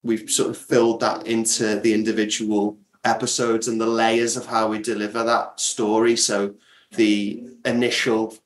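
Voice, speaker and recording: A man speaks in a slightly low voice with fairly level intonation, recorded in an echoey space. He explains things conversationally as an interview guest, restarting now and then mid-thought. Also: little pitch movement, normal speed. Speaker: audio drama creator, interview guest. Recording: echoey, roomy sound.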